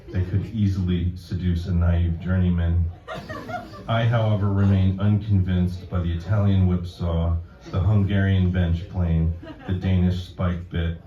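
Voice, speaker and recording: A man reading his own poetry at a live event, speaking in a deadpan, measured, formal manner. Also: sits low. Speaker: poet reading own work. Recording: dull, roomy, distant event recording.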